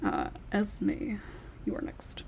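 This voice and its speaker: A woman's voice: slow, a little mellow, slight background noise. A woman hosting a casual panel discussion, speaking in a hesitant way and often circling back over her words. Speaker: podcast host.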